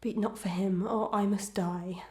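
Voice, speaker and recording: A woman's voice: medium pitch, steady tone, a little slow, mellow, natural voice. A woman playing a sorrowful, dignified wife torn between love and duty in a Victorian melodrama, declaiming formally with pathos. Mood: tormented sorrow. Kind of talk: Victorian melodrama audio acting. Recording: echoey, reverberant room.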